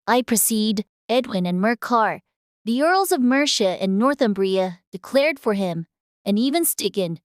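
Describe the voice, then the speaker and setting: A woman's voice: fairly high pitch, animated, a little slow, dry recording, studio quality. A woman voicing a self-important mouse who lectures the others in a storybook drama. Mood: lecturing. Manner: prim, lecturing.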